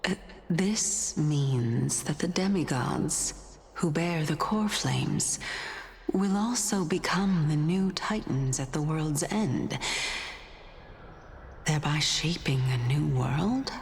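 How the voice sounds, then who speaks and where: An actress playing a composed, wry and skeptical woman in a video game, speaking in a dry, measured way. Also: low-pitched, animated, darkish tone, full character voice. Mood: intrigued. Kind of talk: scripted dramatic game dialogue.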